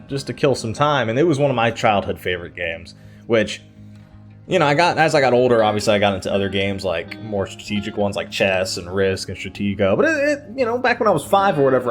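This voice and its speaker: A man telling personal stories casually to his online viewers, digressing, hesitating and circling back as he goes. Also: mid-range voice. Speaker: YouTube storyteller. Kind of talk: casual rambling personal storytelling.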